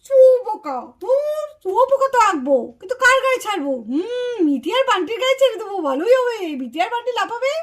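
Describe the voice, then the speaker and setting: A woman voicing an excitable, mischievous little child doll, eagerly repeating words in a children's puppet story. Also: very high voice, quick pace, few pauses. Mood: playful, mischievous.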